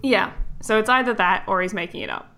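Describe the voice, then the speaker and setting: A woman co-hosting a podcast, animated and giggly, thinking out loud as she talks. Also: mid-range voice, fast, vocal fry. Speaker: podcast co-host. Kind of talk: casual podcast chat.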